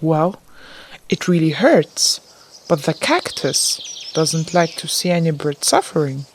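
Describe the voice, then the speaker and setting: A woman playing a wise, kindly pine tree in a children's audio story, earnest and gently chiding. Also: sits low, very animated, slow pace, a little hiss or hum, heavily acted. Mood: patient explaining.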